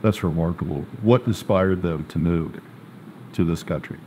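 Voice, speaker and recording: A man hosting a casual interview, chatty and joking, asking quick follow-up questions. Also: deep voice, dark tone, slight background noise. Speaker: host.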